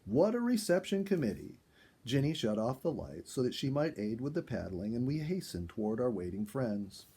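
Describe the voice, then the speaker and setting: A man reading a first-person animal story aloud in an expressive storyteller's style. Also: medium pitch, normal speed, few pauses, breathy edge. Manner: expressive, acts out dialogue. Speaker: storyteller, reader.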